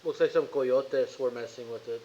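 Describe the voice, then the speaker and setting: A man's voice: mid-range voice, moderate pace, mellow, dull audio, a little hiss or hum. A man chatting casually and bantering as a player in a tabletop game.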